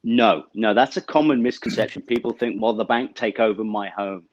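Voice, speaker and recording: A middle-aged man from the UK with a British accent, explaining banking products as an interview guest over a video call, measured and full of small fillers. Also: fairly low pitch, steady tone, dry recording, dull audio. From United Kingdom. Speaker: bank representative guest. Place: office with banner backdrop, indoors.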